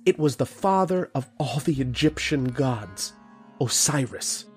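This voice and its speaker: A haunted archaeologist acted by a man tells an old-time radio horror tale in a middling register. The delivery is grave, deliberate and dramatic, with music running behind the voice. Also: mid-range voice, lively intonation, lightly acted. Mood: awed, horrified.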